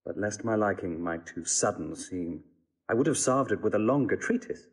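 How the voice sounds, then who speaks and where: Low, husky young lovestruck lord. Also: male voice, lively intonation, moderate pace. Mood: lovestruck, earnest. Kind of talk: classical Shakespearean audio drama acting.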